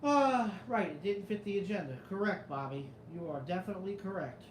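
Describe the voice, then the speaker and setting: A man's voice: high-pitched, lively intonation, a little fast, echoey room, a little hiss or hum, poor audio. A man with a New York Italian-American accent hosting a casual live chat, rambling, skeptical and swearing freely. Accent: New York Italian-American, comes and goes. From New York area. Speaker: show host reading live chat.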